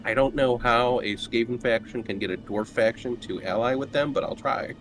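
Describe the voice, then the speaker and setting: A man's voice: medium pitch, normal speed. A man chatting casually as a player on a tabletop roleplaying show. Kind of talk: casual chat.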